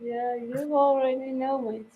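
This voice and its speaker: High-voiced woman, slow and exclaiming. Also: little pitch movement, phone-quality sound. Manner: exclaims at the play, teasingly hushes the others. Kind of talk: casual chat with match reactions.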